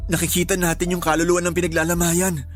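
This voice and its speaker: An actor playing a steady young male friend who talks in a measured, reassuring way in a radio drama. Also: high-pitched, close to an everyday voice. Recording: music bed behind.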